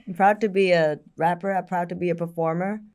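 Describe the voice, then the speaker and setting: A woman's voice: fairly low pitch, fairly even intonation, fairly quick, a little mellow. A woman in her twenties with a Thai accent, answering casually as an interview guest, candid and playful. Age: 20s.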